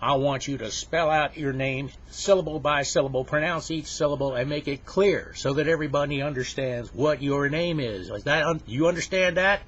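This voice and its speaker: A man's voice: a little high, normal speed, few pauses, fairly bright tone, voice pushed up. A man voicing a stern, bossy female schoolteacher who barks clipped orders. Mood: stern, demanding. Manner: barking orders, clipped and demanding. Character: stern, bossy female schoolteacher putting pupils in their place. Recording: dull, noisy lo-fi recording.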